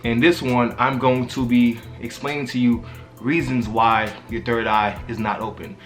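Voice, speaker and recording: A man's voice: medium pitch, steady tone, moderate pace, a bit bright. A man hosting his own spiritual vlog in casual slang, hyping up and directly addressing his viewers, with faint music under his voice. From Haitian background. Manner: street slang, hypes the viewers. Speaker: spiritual vlogger.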